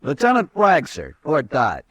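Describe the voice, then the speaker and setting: A haughty young cavalry lieutenant. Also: male voice, fairly high pitch, huge pitch swings, a little slow, a bit bright, no room sound, exaggerated voice. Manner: clipped, haughty, formal. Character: proud, arrogant young male cavalry lieutenant. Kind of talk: 1950s radio drama acting.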